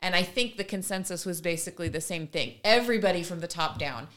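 A woman with a slightly low, bright and forceful voice talks casually as a podcast co-host. She retells things in a loose, conversational way. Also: lively intonation, fast talker.

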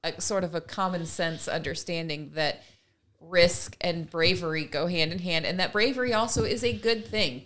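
A woman's voice: fairly low pitch, a bit bright. A woman co-hosting a casual discussion, earnest and emphatic, building her argument in long runs. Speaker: podcast co-host.

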